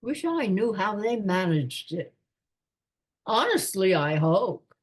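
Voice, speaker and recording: A woman's voice: medium pitch, very animated, takes it slowly, breathy edge, exaggerated voice. A woman playing a doddering, absent-minded elderly lord in a radio comedy, with a pompous, rambling manner. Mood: musing, genial. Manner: pompous, rambling aristocratic musing. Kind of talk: radio play comedy acting.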